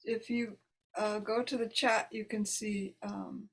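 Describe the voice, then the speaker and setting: A woman's voice: mid-range voice, little pitch movement, takes it slowly, slightly rough. A woman hosting an online poetry reading in a casual, conversational way. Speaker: host introducing poets. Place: video call. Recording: noisy video call audio with clicks.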